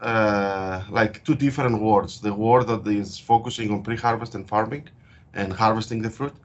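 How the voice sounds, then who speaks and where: A man from Greece with a Greek accent, speaking in an interview as a guest researcher and explaining his work with frequent hesitant pauses. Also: lowish voice, steady tone. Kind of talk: interview over a call. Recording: dull lo-fi call audio.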